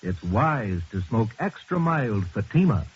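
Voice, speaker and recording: A husky American man sells in the style of a 1950s radio commercial. His emphatic delivery swings in pitch. The recording is muffled and old. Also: very animated, a little slow. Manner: emphatic, salesmanlike. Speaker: commercial announcer. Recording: dull vintage radio recording.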